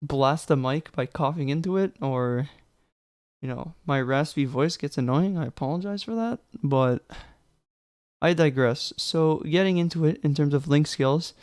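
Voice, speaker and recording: A man's voice: on the high side, measured pace, a little mellow, smooth, no echo, clean studio sound. A young man narrating a casual game tutorial, chatty and joking, with loose fillers, a little sick. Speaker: gaming guide creator.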